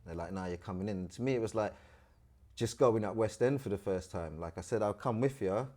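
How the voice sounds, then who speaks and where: A middle-aged man from Trinidad, telling his story as an interview guest in animated, slangy casual talk. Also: fairly low pitch, a little fast. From Trinidad and Tobago.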